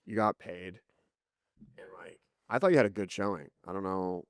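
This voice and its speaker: A loud man with a gravelly, somewhat low voice co-hosts a podcast in casual chat, his pitch swinging up and down as he talks. The sound is dry and close. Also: normal speed, dry recording. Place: podcast studio.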